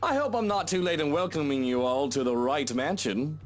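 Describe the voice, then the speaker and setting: A man's voice: fairly high pitch, expressive, normal speed, close to an everyday voice. Guy playing a smug fancy rich host in a game, super theatrical, voice swoops around. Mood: smooth, welcoming. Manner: theatrical, grandiose. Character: pompous, charming wealthy male host, secretly rotten. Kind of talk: visual novel game voice acting.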